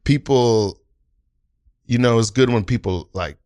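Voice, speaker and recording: A man's voice: mid-range voice, a little slow, a little mellow, no echo, clean studio sound, lightly acted. A man improvising an earnest, laid-back student delegate who rambles supportively in slangy speech. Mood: earnest, fumbling. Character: earnest, chill, inarticulate male student delegate.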